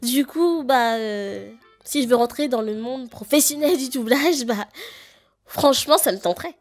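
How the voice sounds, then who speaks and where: A woman who does radio voice-overs, answering in an expressive, somewhat hesitant way. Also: a little high, moderate pace, faint music behind. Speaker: radio voice-over artist.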